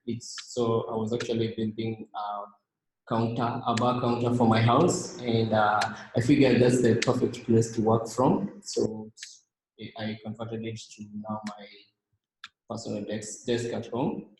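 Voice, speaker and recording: Young Kenyan guy, slow and halting, mid-range voice, echoey room. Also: on the low side, little pitch movement, darkish tone, a little hiss or hum. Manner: halting, many fillers and restarts. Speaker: team member presenting himself. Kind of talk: casual slide presentation.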